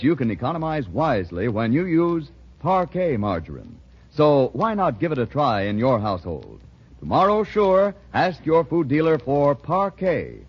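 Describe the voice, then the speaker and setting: A man's voice: a little high, breathy edge. An American man announcing on 1940s radio, reading scripted ads with polished, emphatic salesmanship. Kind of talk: 1940s radio ad read and narration. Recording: dull 1940s radio recording.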